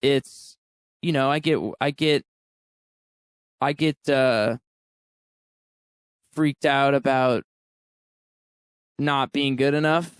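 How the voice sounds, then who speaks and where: A man's voice: medium pitch, fairly even intonation, takes it slowly, pauses often, clean tone, no room sound. A man in his thirties, a musician guest talking candidly in a casual interview and laughing often. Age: 30s.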